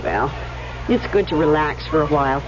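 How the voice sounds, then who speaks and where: Young female resort guest: animated, insistent, pointed; dull vintage radio drama sound. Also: deep voice, normal speed. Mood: casual, coaxing. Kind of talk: 1970s radio drama acting. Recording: dull, band-limited 1970s radio recording.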